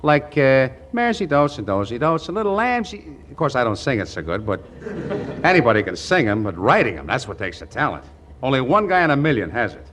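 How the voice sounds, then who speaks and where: An actor playing a brash, naive young small-town songwriter in 1940s radio comedy, eager, boastful and stammering, with a folksy way of talking. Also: male voice, mid-range voice, very animated, brisk, a bit bright. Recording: noisy vintage 1940s radio broadcast.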